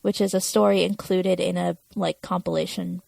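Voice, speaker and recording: A woman's voice: fairly low pitch, fairly even intonation, normal speed, gravelly, a little mellow, no echo. A woman talking casually as a podcast co-host, chatting through a television episode. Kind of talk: casual chat about a TV episode.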